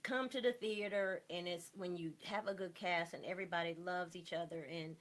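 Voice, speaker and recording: A woman's voice: lowish voice, steady tone, brisk. A woman talking informally and earnestly as a theater performer and guest in a casual group interview.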